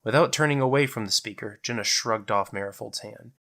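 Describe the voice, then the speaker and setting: A man's voice: on the low side, a little fast, creaky, fairly bright tone. A man narrating a scripted dramatic story as its storyteller.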